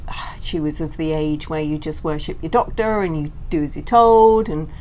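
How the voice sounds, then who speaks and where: A woman's voice: deep voice, moderate pace, mellow, low-quality recording. A middle-aged woman speaks as a guest in a conversational interview. Her voice sits well down in the female range. A light layer of background noise comes through on the recording. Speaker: interview guest, cancer survivor and author. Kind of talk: conversational interview, telling personal story.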